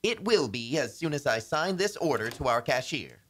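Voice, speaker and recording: A man acts out a pompous old-fashioned boss for a radio comedy. His delivery is stuffy and sententious, swinging animatedly up and down at a medium pitch. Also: sing-song, normal speed, fairly bright tone. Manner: pompous, proverb-reciting. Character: stuffy, pompous male boss who spouts proverbs.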